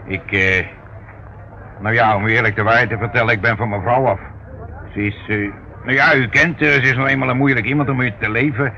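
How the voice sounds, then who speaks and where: An actor playing a small, evasive, henpecked crook who protests and pleads hesitantly in a radio play. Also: male voice, mid-range voice, steady tone, brisk, pushed and bright. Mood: evasive, confessing. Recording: dull, faintly noisy old radio recording.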